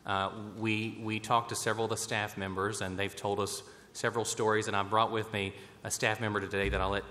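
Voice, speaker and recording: An American man giving formal, somewhat halting remarks at a public roundtable as a bank president. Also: lowish voice, level tone, sharp tone. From West Virginia, United States. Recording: echoey hall, noticeable background noise.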